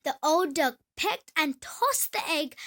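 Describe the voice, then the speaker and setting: A woman reading a children's story aloud as the storyteller, with an expressive delivery. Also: high voice, takes it slowly.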